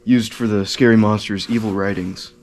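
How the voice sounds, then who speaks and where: A man voicing a goofy male monster hunter in an indie horror game cutscene, slow and mock-dramatic, talking to his viewers. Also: fairly low pitch, fairly even intonation, darkish tone, close to an everyday voice. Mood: mock-spooky.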